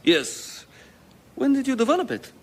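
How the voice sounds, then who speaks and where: A man's voice: extremely high pitch, wildly swooping pitch, unhurried, very noisy, exaggerated voice. An actor playing a wry rival Cold War negotiator who contradicts his opponent in radio sketch comedy. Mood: wry, contradicting.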